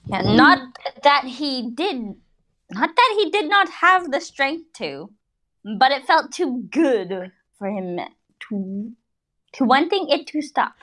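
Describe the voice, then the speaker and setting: A woman's voice: high-pitched, sing-song, slow, fairly bright tone. A woman giving a mocking, playful dramatic reading with giggly, exaggerated delivery and casual asides. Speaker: reader in a group dramatic reading.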